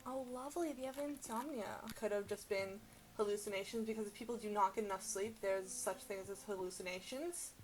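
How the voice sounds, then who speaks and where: A young woman co-hosting a casual chat, reacting to stories in an animated, exclamatory, informal way. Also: medium pitch, fairly quick, a little hiss or hum, poor audio. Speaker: podcast co-host.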